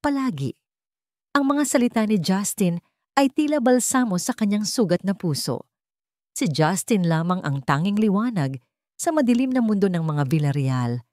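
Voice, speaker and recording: A woman's voice: mid-range voice, lively intonation, moderate pace, darkish tone, dry recording, clean studio sound. A woman reading a scripted romance story as a dramatic narrator.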